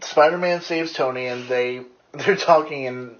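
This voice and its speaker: A man's voice: mid-range voice, a little slow, a bit bright. A young man casually recapping a movie with a co-host in an enthusiastic, profanity-laced way, on a slightly lo-fi recording. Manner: profane, enthusiastic retelling with quoted lines.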